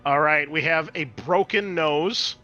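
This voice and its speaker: Male game master, bright animated delivery, casual swearing, improvised narration over faint music. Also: a little high, unhurried, muffled recording. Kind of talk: running a tabletop roleplaying game, improvised narration.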